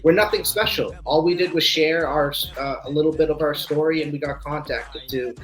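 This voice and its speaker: A man's voice: on the high side, a little fast, poor audio. Earnest man with music behind. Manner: earnest, hesitant with fillers. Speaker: guest, community event organizer. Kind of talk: casual interview talk.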